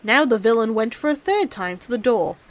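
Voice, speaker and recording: A woman narrating a fairy tale in an expressive storybook style. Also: on the high side, a little fast. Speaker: audiobook narrator and streamer.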